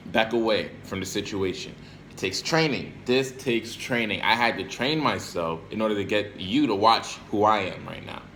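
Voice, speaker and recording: A man's voice: lowish voice, sharp tone, a little hiss or hum. An American man giving a casual motivational monologue to camera in streetwise slang, repeating phrases for emphasis. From Brooklyn and Florida, United States. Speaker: self-help video creator.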